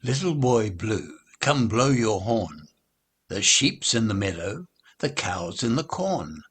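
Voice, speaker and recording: A man reciting children's nursery rhymes in an expressive, theatrical, playful way. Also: fairly low pitch, breathy edge. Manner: slow, theatrical, playful delivery.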